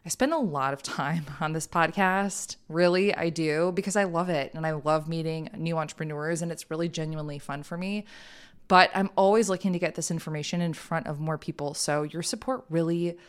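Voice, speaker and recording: Woman, Midwestern accent, podcast host, low voice, enthusiastic, casual. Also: fairly even intonation, brisk, clean tone. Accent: Midwestern American. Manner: upbeat, enthusiastic, laughs often. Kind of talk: casual interview chat.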